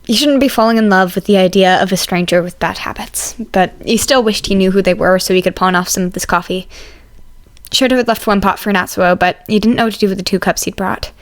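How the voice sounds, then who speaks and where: A woman's voice: mid-range voice, a little fast, no echo. A woman reading scripted story narration as an audiobook narrator.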